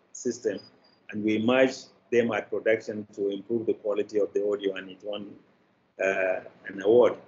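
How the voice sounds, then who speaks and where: A man's voice: on the low side, darkish tone. Older Nigerian man, dull call audio. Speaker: interview guest, film producer and festival founder. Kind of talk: reflective interview storytelling. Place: indoor room, on a video call.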